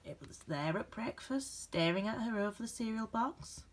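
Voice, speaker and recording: A woman reading a children's picture book aloud with gentle, expressive storytelling emphasis. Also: a little high, moderate pace. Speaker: storybook reader.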